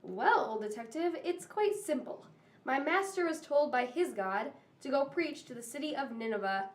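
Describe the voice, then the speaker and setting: A woman's voice: sits high, lively intonation, moderate pace. A woman playing a curt, impatient servant in an amateur audio drama. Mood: exasperated. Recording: echoey room, far from mic.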